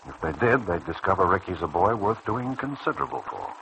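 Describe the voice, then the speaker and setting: A man playing a kindly, principled senior doctor in a mid-century radio soap opera, speaking in a measured, earnest way. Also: deep voice, very animated, gravelly, a bit bright, exaggerated voice. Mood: earnest, concerned. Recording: faintly noisy old radio recording.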